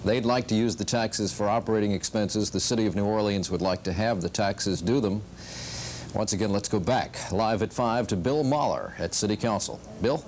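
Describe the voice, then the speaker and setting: A man's voice: on the low side, moderate pace, a little mellow, slight background noise. A man anchoring a local television newscast and handing over to a reporter. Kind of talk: news anchoring.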